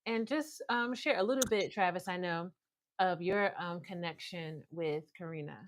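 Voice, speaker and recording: A woman's voice: fairly low pitch, moderate pace. A woman co-hosting a mindfulness talk show, reflecting in a rambling, thoughtful way with many restarts. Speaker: co-host. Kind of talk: casual conversational reflection.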